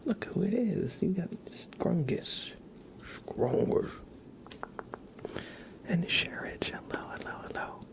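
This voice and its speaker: An ASMR livestream host who whispers and talks softly in a gentle, affectionate way, close to the microphone. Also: mid-range voice, big pitch swings, takes it slowly, pauses often. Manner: gentle, affectionate cooing at a pet. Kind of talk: live ASMR, whispered and soft-spoken greetings and chat. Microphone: close sensitive microphone.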